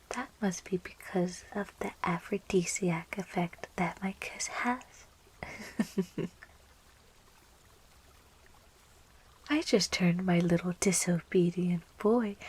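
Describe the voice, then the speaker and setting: A woman voicing a young, possessive, lovestruck plant monster girl in an intimate, breathy, slow and coaxing ASMR roleplay, close to the microphone. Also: on the low side, darkish tone. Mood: hypnotic, coaxing. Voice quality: breathy, whispery. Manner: slow, coaxing, teasing, giggly, doting.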